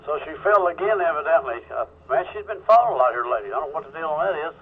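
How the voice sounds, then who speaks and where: Male net control operator, folksy and informal, fairly high voice, thin phone-like radio sound. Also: animated, rapid-fire, a little hiss or hum. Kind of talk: casual radio check-in chat. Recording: thin phone-like radio audio, webcam mic.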